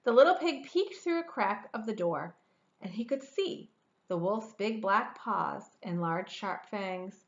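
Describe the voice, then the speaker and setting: A woman's voice: medium pitch, expressive, unhurried, dull audio. A woman in her forties reading a children's storybook aloud expressively, stretching words for dramatic emphasis. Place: indoor room at home.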